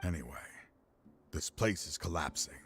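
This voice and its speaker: An actor playing a grave, remorseful older father in a video game, speaking deliberately and formally. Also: sits low, lively intonation, fairly bright tone. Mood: remorseful, resigned. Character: grieving older father, remorseful, resolute, self-sacrificing scholar. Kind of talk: dramatic video game voice acting. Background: game music.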